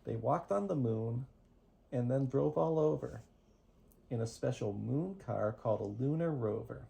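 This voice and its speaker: Slow, mellow bedtime story reader with creaky edge. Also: medium pitch. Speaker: parent reading bedtime stories. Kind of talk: reading picture books aloud to a toddler. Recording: noisy amateur home recording.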